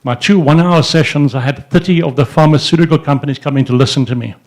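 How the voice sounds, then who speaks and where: A man's voice: on the high side, fast, a little mellow. Older man rambling into a handheld mic. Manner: rambling, storytelling with frequent restarts and fillers; gestures with his free hand while he talks. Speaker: entrepreneur speaking at a society event. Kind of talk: informal speech to an audience. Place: indoor event room in front of a banner. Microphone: handheld microphone.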